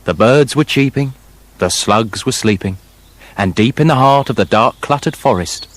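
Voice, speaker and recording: Man as poetic fairy-tale narrator, mid-pitched. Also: animated, normal speed, lightly acted. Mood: poetic, measured. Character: male fairy-tale storyteller, poetic and deliberate. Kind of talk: storybook narration in a radio play.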